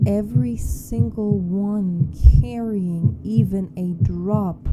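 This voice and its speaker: A woman guides a meditation in a very dark, soft tone that barely rises or falls. She speaks extremely slowly and deliberately, leaving long pauses between short phrases. Faint music hums underneath her hushed delivery. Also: fairly even intonation. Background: meditation music with a low hum.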